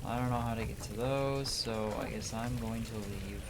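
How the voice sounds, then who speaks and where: A person with a mid-pitched voice playing a video game and reading it aloud with casual, theatrical commentary. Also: steady tone, normal speed. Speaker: let's player reading game dialogue aloud. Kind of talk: gameplay commentary and character voicing. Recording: clearly noisy background.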